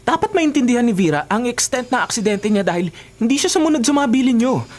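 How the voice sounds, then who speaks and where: A man's voice: very high-pitched, sing-song, quick pace, full character voice. A man playing a blunt, reproachful racing teammate in a Filipino radio drama.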